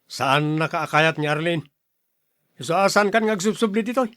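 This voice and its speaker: A man's voice: fairly high pitch, moderate pace, fairly bright tone, dry recording, studio quality, close to an everyday voice. A man with an Ilocano accent acting a stern, blustery older brother who turns smitten suitor in a radio drama. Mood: scolding. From Philippines.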